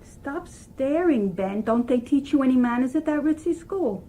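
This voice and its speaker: A woman's voice: sits high, animated, moderate pace, dark tone, a little hiss or hum. An actress playing a hospitable, fussing wife and mother with a familiar, mildly scolding manner in an old radio drama. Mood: gently scolding.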